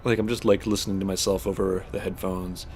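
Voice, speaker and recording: A man's voice: low-pitched, level tone, fairly quick, gravelly, darkish tone, slight background noise. A man hosting a gaming channel, chatting casually while he plays, rambling with lots of fillers and a slightly stuffed-up voice. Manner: rambling, heavy on fillers and restarts. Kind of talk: casual chat while playing a space game.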